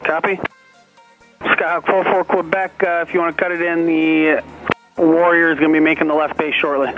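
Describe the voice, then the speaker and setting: A man working as an air traffic controller, giving clipped radio instructions with the odd relaxed aside. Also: a little high, moderate pace, phone-quality sound, music in the background.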